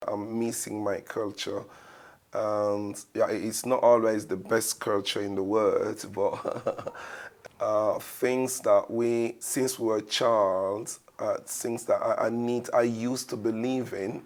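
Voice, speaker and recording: A man from Congo reflecting on his upbringing in an unhurried, gently amused way. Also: fairly low pitch, steady tone. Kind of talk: reflective interview.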